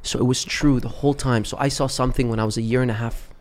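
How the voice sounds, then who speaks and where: A man who grew up in Dubai tells stories as a podcast guest in a relaxed, conversational way. He rambles, repeats words, and restarts his sentences as he goes. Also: mid-range voice, fast. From Lebanon, raised in Dubai. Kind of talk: casual storytelling chat.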